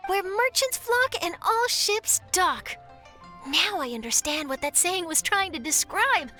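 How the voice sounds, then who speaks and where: Eager young reporter girl, perky, articulate, very high, lively intonation, anime game dub, music behind. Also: a little slow, exaggerated voice. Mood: upbeat. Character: bright, eager young female journalist.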